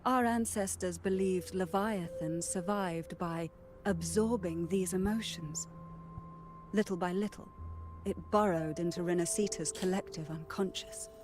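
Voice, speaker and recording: A woman playing a wise, sorrowful matriarch in a video game, recounting lore in a solemn, deliberate way. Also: fairly low pitch, steady tone, background noise, close to an everyday voice. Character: wise, sorrowful matriarch guarding ancient truths. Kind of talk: scripted video game dialogue. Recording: game audio under loud music.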